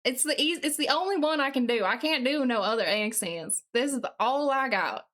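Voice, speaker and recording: High-pitched woman streamer with a Southern drawl. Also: moderate pace, fairly bright tone. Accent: put-on Southern US. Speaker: live streamer. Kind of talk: casual live-stream chat while working at a computer.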